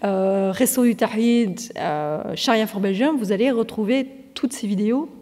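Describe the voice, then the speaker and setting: Female presenter, training session, relaxed explanatory delivery, warm tone, hall reverb. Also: mid-range voice, moderate pace. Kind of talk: presentation to an audience.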